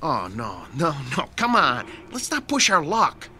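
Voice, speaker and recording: A man's voice: fairly high pitch, wildly swooping pitch, measured pace, pushed and bright, full character voice. An American actor in an audio drama playing a worried, exasperated male mechanic who tends a worn-out robot, fretful and protesting. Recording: music under the voice.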